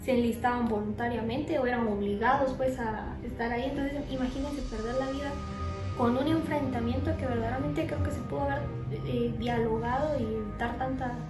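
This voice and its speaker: A woman's voice: a little high, steady tone, a little fast. A woman co-hosting a casual chat in a conversational way, asking questions. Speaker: co-host. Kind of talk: casual chat, closing segment. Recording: echoey room with music.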